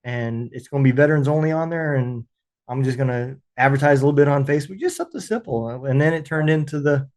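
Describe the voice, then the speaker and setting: Man, podcast host, veteran, casual chat, halting, lots of restarts. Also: medium pitch, darkish tone. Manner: halting, frequent restarts and fillers.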